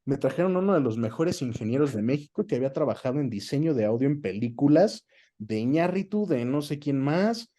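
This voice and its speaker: Man, Mexican accent, very soft dark timbre, average pitch, conversational, explanatory, colloquial. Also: lively intonation, fairly quick. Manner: explanatory, colloquial, uses examples and frequent tag questions. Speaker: interview guest, dubbing director. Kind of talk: conversational interview.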